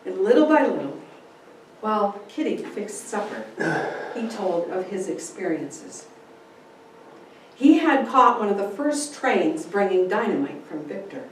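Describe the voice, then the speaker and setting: The room echoes heavily around a woman narrating a story on stage-like terms. She reads slowly and dramatically, some way from the mic, with faint noise underneath. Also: medium pitch, sing-song, recorded from a distance. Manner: deliberate, dramatic phrasing. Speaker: speaker giving a dramatic reading. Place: indoor room, speaking to a group.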